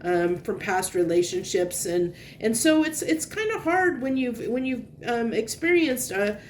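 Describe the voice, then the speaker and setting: A woman's voice: on the high side, animated, measured pace, a little hiss or hum. A woman with a congested, sniffly voice giving a casual tarot reading to camera. She meanders and restarts as she searches for words. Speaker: tarot reader.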